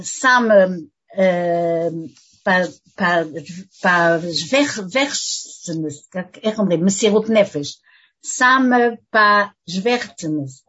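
Older woman, husky, halting teacher. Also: mid-range voice, a bit bright, muffled recording. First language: French. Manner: halting, searching for words in a learned language. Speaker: religious teacher. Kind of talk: religious lesson to women.

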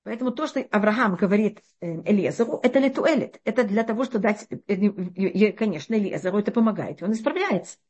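A middle-aged woman teaching a religious text class, explaining with lively rhetorical questions and frequent restarts. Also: mid-range voice, fast talker, few pauses, breathy edge. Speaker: Torah teacher.

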